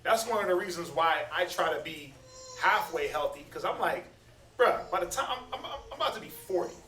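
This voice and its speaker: A man's voice: a little high, expressive, brisk, bright, forceful tone, faint music behind. Man in his thirties, echoey distant room. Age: 30s. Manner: conversational, preachy, stammers and repeats words. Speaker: Bible study speaker. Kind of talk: casual teaching talk. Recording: echoey room, distant mic, faint noise.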